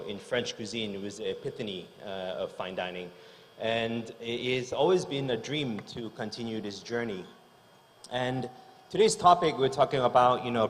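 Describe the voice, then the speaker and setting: A man's voice: fairly low pitch, a little hiss or hum. A middle-aged man from Hong Kong, a chef giving an unscripted speech on a conference stage, full of fillers and restarts. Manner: hesitant, many fillers and restarts. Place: large conference hall stage. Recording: long hall reverb.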